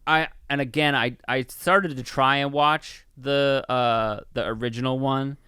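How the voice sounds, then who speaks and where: A man co-hosting a podcast, casually discussing films in a rambling, halting way. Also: medium pitch, fairly even intonation, bright, forceful tone, clear, resonant tone, no room sound, studio quality. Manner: rambling, halting, heavy use of "like". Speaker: podcast co-host. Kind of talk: casual film review chat.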